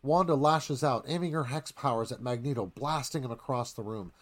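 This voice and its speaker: A man's voice: medium pitch, fairly quick. A man reading scripted stage directions as the narrator of a live radio theatre performance.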